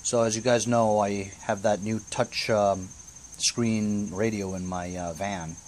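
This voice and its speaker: A man hosting a repair vlog, talking casually through hands-on work in a halting, thinking-aloud way with frequent "you know" tags. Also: lowish voice, steady tone, a little mellow, a little hiss or hum, low-quality recording. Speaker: host of a DIY repair vlog. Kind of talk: casual hands-on tutorial narration.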